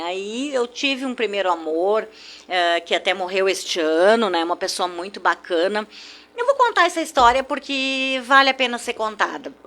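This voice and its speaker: Older woman reminiscing. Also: fairly high pitch. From southern Brazil, German-speaking community. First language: German. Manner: warm reminiscing, frequent "né?" tags. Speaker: guest. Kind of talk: nostalgic radio interview storytelling.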